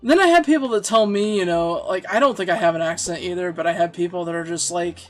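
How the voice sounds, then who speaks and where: A man's voice: high-pitched, quick pace. A man streaming a game from home, chatting casually and self-deprecatingly, laughing easily, with faint music behind. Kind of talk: casual chat while playing and reading chat.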